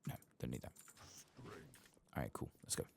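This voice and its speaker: A soft-spoken man giving unhurried ASMR gameplay commentary, murmuring to himself and sometimes dropping toward a whisper, close to the microphone. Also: noisy background. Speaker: gaming streamer.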